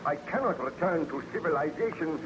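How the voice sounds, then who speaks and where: A man plays a tormented baron haunted by his own creation in a vintage radio play. His voice is fairly high, earnest and melodramatic. The old recording is clearly noisy. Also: lively intonation, a little fast, lo-fi sound, lightly acted. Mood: weary, troubled. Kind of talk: old-time radio drama acting.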